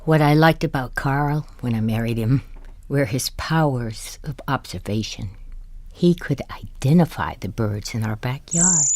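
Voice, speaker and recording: An actress playing a long-suffering, exasperated wife in an audio drama, weary and gently reproving. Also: female voice, a bass voice, expressive, unhurried, no room sound, exaggerated voice. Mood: wistful.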